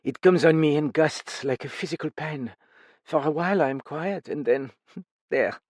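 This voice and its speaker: A man's voice: lively intonation, warm tone, husky, no echo. Brittle, weary widow, pained and intense, played by a male reader, dry read. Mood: anguished. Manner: raised pitch, halting, pained. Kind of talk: audiobook character voice.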